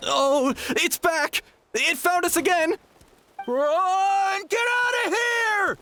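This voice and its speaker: A man's voice: extremely high pitch, slow pace, bright, forceful tone, a little hiss or hum, heavily acted. A man playing a panicky, cowardly fugitive in a video game dub. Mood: terrified.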